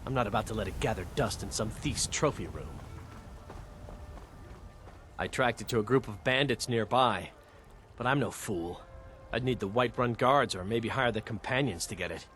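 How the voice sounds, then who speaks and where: An actor playing a proud, guarded wandering warrior in a video game, speaking in a measured, plain way. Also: male voice, fairly low pitch, a bit bright, a little hiss or hum, close to an everyday voice. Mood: guarded, earnest. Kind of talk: video game character dialogue.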